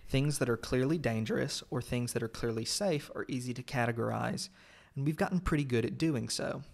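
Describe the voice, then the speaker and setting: A man reading scripted video essay narration in a measured, explanatory way. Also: mid-range voice, vocal fry.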